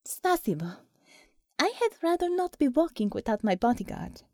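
Woman as a wry Russian female healer, high-pitched. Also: sing-song, moderate pace, darkish tone, dry recording, studio quality. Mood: amused, wry. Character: amused, dry-humored Russian woman healer.

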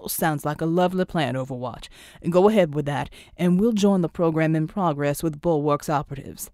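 A woman voicing a confident, courteous male superhero team leader in an audiobook, speaking in a measured, commanding way. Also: low-pitched, mellow, no echo, studio quality.

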